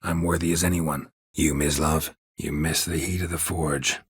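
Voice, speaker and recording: An actor playing a gruff, weary, sardonic monster hunter for hire in a video game, with terse, deadpan delivery and a low gravelly voice. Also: male voice, moderate pace, no echo, full character voice. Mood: matter-of-fact, wry. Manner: terse, dry, deadpan, clipped sentences. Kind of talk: video game character dialogue.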